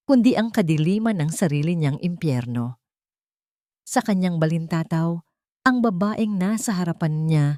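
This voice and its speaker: A woman narrating a scripted love story in a measured, solemn, dramatic manner. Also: sits low, warm tone, dry recording, studio quality.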